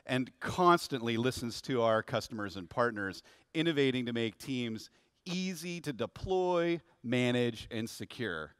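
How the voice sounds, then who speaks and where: A man's voice: medium pitch, fairly bright tone, dry recording, studio quality. A man in his fifties giving a rehearsed, upbeat corporate keynote from a large conference stage. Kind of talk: scripted keynote presentation.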